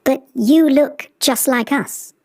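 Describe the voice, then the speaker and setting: A woman voicing a gentle, puzzled swan in a children's story, asking things politely and a little hesitantly. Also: high voice, takes it slowly, no echo, studio quality, voice pushed up, heavily acted. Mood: confused. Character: graceful, kindly wild swan, puzzled and gentle.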